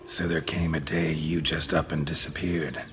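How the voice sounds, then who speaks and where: An actor playing a stoic, world-weary monster hunter in a fantasy video game, speaking in terse, deadpan, dry phrases. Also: male voice, a bass voice, moderate pace, a little hiss or hum. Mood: skeptical.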